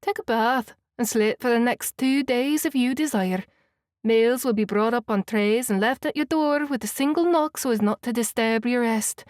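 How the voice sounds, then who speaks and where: A woman's voice: fairly high pitch, a little mellow, no room sound, clean studio sound, natural voice. Woman doing a fussing Scottish housekeeper. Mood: doting. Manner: chatty, doting, brisk. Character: kindly middle-aged Scottish housekeeper woman, fussing and motherly. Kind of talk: audiobook character voice.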